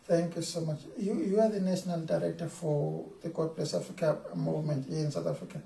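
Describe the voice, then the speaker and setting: A man's voice: on the high side, steady tone, fairly quick, creaky, dark tone, roomy sound, far from the mic. A man interviewing a guest in a studio for a TV program, talking hesitantly with fillers and restarts.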